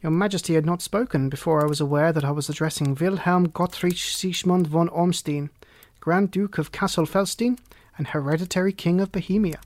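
A man's voice: fairly high pitch, brisk, darkish tone, no echo, lightly acted. A man voicing a self-assured, dry-witted detective in an audiobook, languid and clipped. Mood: languid, impatient. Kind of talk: audiobook character reading.

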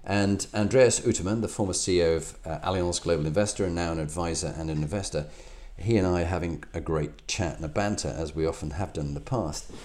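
A man's voice: low-pitched, fast, few pauses, a little mellow. A man hosting an interview podcast who encourages his guest with frequent short affirmations. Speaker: podcast host.

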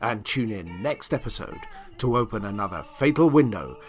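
A man's voice: on the low side, lively intonation, moderate pace. A man reading scripted closing credits as a podcast announcer. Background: faint music.

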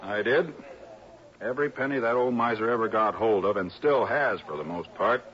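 A man plays a fretful, disapproving American insurance man in vintage radio drama. His voice sits fairly low and he confides with frank, emphatic delivery. The sound is muffled, like an old broadcast. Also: moderate pace, close to an everyday voice. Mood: indignant. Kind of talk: 1960s radio drama acting.

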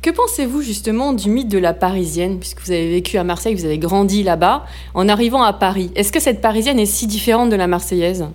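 A woman's voice: mid-range voice, fast talker, hardly any pauses, a little mellow. Woman interviewer, lightly teasing. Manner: lightly teasing, laughs easily. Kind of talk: interview questions.

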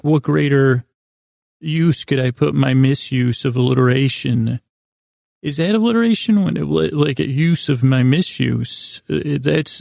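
A man hosting a podcast, delivering a meandering, improvised bedtime monologue in a halting way, repeating himself and trailing off mid-thought. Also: medium pitch, darkish tone, no room sound, clean studio sound. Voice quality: gravelly, creaky voice. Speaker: podcast host.

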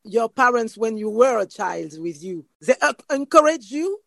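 A woman's voice: fairly high pitch, lively intonation, normal speed, creaky. A woman asking questions as an interviewer.

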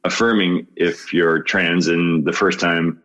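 A man's voice: low-pitched, steady tone, takes it slowly, fairly bright tone, muffled recording. A man in his fifties, a podcast guest talking earnestly in casual conversation, laughing often and restarting his sentences. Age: 50s.

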